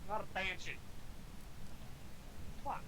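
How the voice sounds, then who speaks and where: A man's voice: high voice, slow pace. A man playing a game with a friend, talking casually, teasing and swearing. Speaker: co-player. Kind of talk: casual gameplay chat. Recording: noisy mic.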